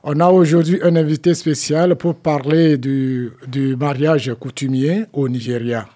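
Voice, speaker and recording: Slow, hesitant male radio host. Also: fairly high pitch, steady tone, dark tone. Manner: slow, many "euh" fillers. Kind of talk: community radio presenting.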